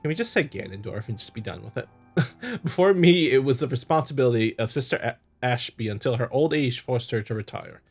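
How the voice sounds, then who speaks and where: A man's voice: medium pitch, lively intonation, fairly quick, breathy edge, soft background music. A man hosting a visual novel playthrough, chatting casually and reading aloud, joking and stumbling over the text. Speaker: host, gamer.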